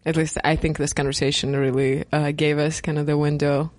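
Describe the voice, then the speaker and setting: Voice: mid-range voice, little pitch movement, fairly quick, no echo. A podcast host, speaking hesitantly with many fillers and restarts. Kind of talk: casual podcast interview.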